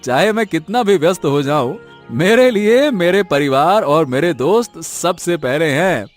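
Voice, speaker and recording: A man's voice: on the high side, lively intonation, measured pace, soft background music. A man playing a busy, kindly factory worker in a cartoon, placating and friendly.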